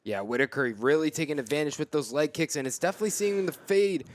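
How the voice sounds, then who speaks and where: A man's voice: a little high, fast, no echo. A young man giving casual color commentary on a fight, usually opening by agreeing before he adds his analysis. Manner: agreeable, opens with "yeah, for sure".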